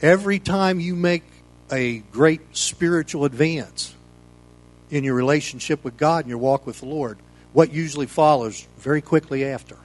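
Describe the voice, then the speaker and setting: A man's voice: fairly high pitch, moderate pace, rough recording. A middle-aged or older American man giving an informal sermon as a pastor, in a folksy, rambling, conversational way full of "you know.". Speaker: pastor leading a Bible study.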